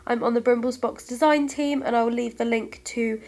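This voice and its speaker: A young woman from the UK talks through a craft voice-over with a thick head cold, her voice stuffy and slightly breathless. She chats in a friendly, apologetic way with plenty of ums, and her pitch sits a touch high. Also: steady tone, brisk, darkish tone. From United Kingdom. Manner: chatty, apologetic, lots of ums. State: congested from a cold, stuffy nose; short of breath, voice fading. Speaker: craft vlogger. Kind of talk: scrapbooking process voice-over.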